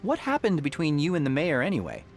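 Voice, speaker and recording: A boyish young man, an inventor and adventure game hero. His fairly high voice moves with lots of melody, earnest and a touch nervous, over soft background music. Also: wildly swooping pitch, fast talker. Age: young adult. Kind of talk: adventure game dialogue and musing.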